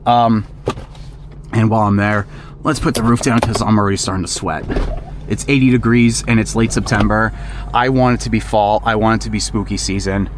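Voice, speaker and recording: A man in his thirties vlogging casually from a moving convertible, relaxed and chatty with frequent fillers. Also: lowish voice. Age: 30s. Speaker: YouTube creator. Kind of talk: casual vlog while driving. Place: inside a convertible car on the road. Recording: loud road noise in a moving car. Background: loud road and engine noise.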